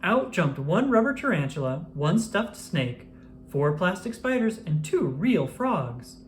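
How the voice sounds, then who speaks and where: Unhurried, animated children's storybook narrator. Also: medium pitch, slight background noise.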